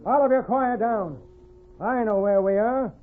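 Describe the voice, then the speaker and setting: Tinny old-radio bullying, greedy high-voiced wagon boss. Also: male voice, unhurried. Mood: commanding. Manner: domineering, folksy Western speech. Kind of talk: 1940s radio western acting. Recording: tinny, band-limited old radio recording.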